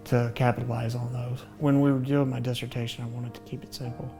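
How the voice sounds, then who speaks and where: A man with a Southern US accent giving halting, measured interview answers. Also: medium pitch, flat delivery, music in the background. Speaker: interviewee, doctoral graduate.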